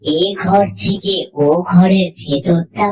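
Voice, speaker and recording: A woman reading a scripted first-person horror story, in measured, deliberate phrases with pauses. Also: on the low side, darkish tone, poor audio. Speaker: audio story narrator.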